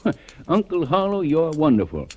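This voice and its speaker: A chipper pal, lively high voice, old radio ad. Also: male voice, moderate pace. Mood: amused. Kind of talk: 1940s radio ad sketch. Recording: old radio broadcast, distant.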